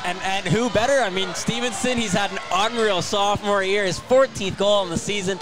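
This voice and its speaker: A man's voice: sits high, a little fast, music in the background, a crowd in the background, poor audio. A man working as a hockey color commentator, talking conversationally and emphatically, often repeating and stumbling over words. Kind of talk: live hockey analysis.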